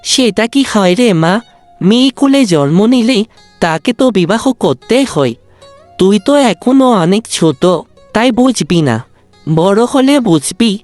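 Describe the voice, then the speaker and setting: A woman voicing a kind, worried father in a cartoon story, gentle and consoling. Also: medium pitch, big pitch swings, unhurried, no echo. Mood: gently instructing. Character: kind, worried, ageing father of two daughters. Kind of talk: Bengali cartoon story dubbing. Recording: dry, music bed under the voice.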